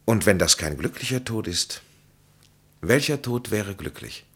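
Solemn physician, very low husky voice, expressive intonation, formal radio drama oratory. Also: male voice, full character voice. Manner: measured, emphatic, formal oratory. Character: grave, authoritative physician and counsellor, solemn and consoling. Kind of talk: German radio play acting.

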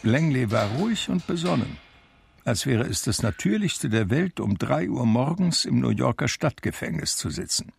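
An actor playing a blind, reflective man who narrates his life in a radio drama, deliberate and expressive in his telling. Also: mid-range voice, creaky, slight background noise. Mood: wry.

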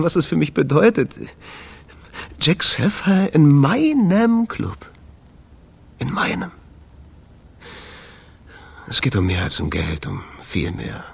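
An actor in a radio play, playing a desperate and passionate jazz club owner. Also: male voice, fairly high pitch, big pitch swings, takes it slowly, creaky, pauses often, breathy edge, full character voice. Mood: pleading, wistful. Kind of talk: German radio play acting.

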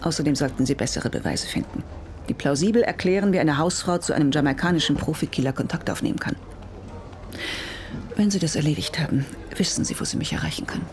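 An actress playing a tough, sceptical prosecutor in a radio drama, speaking brusquely. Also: female voice, low-pitched, animated, fast talker, over music.

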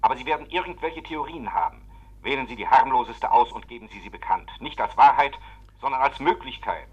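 Fast, husky, insistent state secretary. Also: male voice, mid-range voice, expressive. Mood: urgent, pressing. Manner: urgent, formal, pressing. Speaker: actor in a radio play. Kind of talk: 1950s German radio drama acting. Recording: dull, lo-fi 1950s radio recording.

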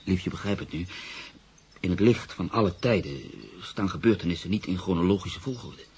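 An actor playing a reflective, skeptical journalist in a radio play, telling his own story in a measured, literary manner. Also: low-pitched, darkish tone, husky, full character voice. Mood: earnest. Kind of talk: radio play, first-person narration and dialogue.

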